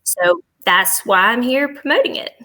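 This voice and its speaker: A woman's voice: on the high side, expressive, unhurried, bright, forceful tone. A woman speaking as a podcast guest and travel agent, giving agreeable, informal answers with a promotional bent.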